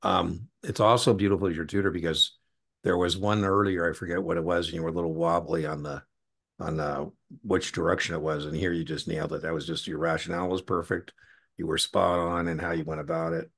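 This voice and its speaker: Deep gravelly american guy tutoring on a video call, chatty and encouraging, goes off on tangents. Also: fairly quick, fairly bright tone. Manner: encouraging, digressive, informal. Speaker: exam tutor. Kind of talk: conversational one-on-one tutoring. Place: indoor room on a video call.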